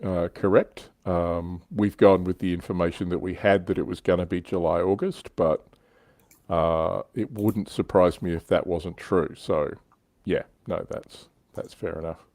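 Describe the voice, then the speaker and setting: Low-voiced man, dry close sound. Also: fairly even intonation, unhurried, a little mellow, no room sound. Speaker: livestream host. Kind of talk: casual hobby panel chat, reading out viewer comments.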